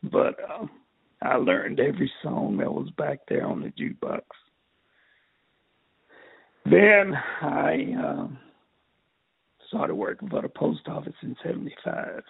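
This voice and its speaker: An older man from Texas with a raspy, strained voice, telling his life story in an interview in a halting way, repeating words. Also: a little high, big pitch swings, dry recording. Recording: tinny phone line.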